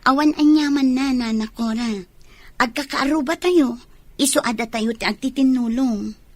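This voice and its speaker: A woman's voice: sits high, normal speed, darkish tone, dry recording. A woman with an Ilocano accent playing a kind, helpful, devout young village neighbor in a radio drama. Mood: kind, reassuring.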